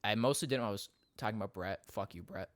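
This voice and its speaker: A young man co-hosting a podcast, chatting casually in a quick, rambling way and laughing often. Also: lowish voice, dry recording. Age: young adult. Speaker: podcast co-host. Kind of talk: casual chat. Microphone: studio podcast mic.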